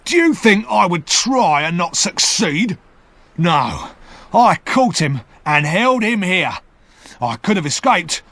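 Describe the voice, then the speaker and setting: A man voicing a rough, angry escaped convict who shouts defiantly. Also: on the high side, no echo, voice pushed up, exaggerated voice.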